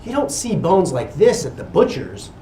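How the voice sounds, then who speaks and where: A man's voice: sits high, huge pitch swings, normal speed, dark tone, slight background noise. A man voicing an eager, friendly scruffy dog from a picture book, in a roomy recording. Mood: matter-of-fact. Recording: roomy, distant mic.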